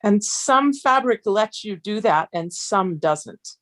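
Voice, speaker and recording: A woman's voice: mid-range voice, animated, slow, fairly bright tone. A woman joining a casual stitching conversation, chatty and amused as she adds her own opinions.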